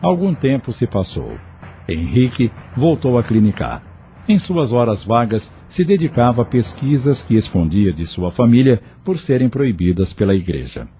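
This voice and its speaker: A man's voice: mid-range voice, big pitch swings, normal speed, warm tone, soft background music. A man with a Brazilian accent giving scripted narration for an audio novel.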